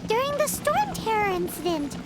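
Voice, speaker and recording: Squeaky little fairy sidekick, game voice, very high and lively, roomy. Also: female voice, moderate pace, over loud music. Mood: chirpy. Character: tiny floating fairy companion, chirpy and squeaky.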